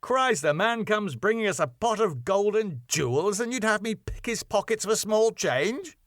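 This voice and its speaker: An excitable sitcom husband who owns a ballroom club, acted out by a male reader. He is theatrical and exclamatory, with a pitch that swings widely and climbs high, recorded close and dry. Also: moderate pace, no echo. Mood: excited, exasperated. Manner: flamboyant, exclamatory. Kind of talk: sitcom script reading.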